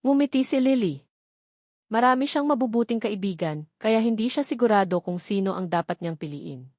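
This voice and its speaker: Woman reading out a novel, audiobook style, middle pitch, really dry recording. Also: expressive, moderate pace, a little mellow, no echo. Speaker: audiobook narrator. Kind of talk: scripted novel narration.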